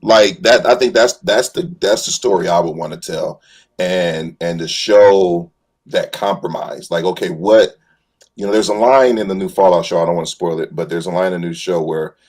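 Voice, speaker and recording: Husky-voiced man talking fan theories. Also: low-pitched, normal speed, darkish tone. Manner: emphatic, rambling, colloquial phrasing. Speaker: fan panelist on a live show. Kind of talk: casual passionate fan discussion.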